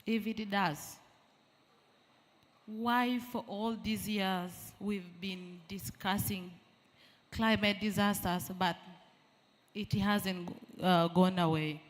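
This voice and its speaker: A woman speaks slowly and earnestly, posing rhetorical questions to a conference audience. Her voice carries a long reverb from a large hall. Each phrase is measured and deliberate. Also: medium pitch, steady tone. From Uganda. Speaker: refugee representative, panel speaker. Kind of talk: conference statement.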